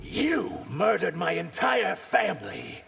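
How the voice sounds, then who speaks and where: An intense reptilian warrior from a fighting game, earnest and defiant. The voice is fairly high and gravelly, with dramatic swings in pitch. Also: male voice, sing-song, slow, loud music behind the voice, exaggerated voice. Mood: bitter, angry. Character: earnest, vengeful reptilian humanoid warrior loyal to an empress. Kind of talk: video game character dialogue.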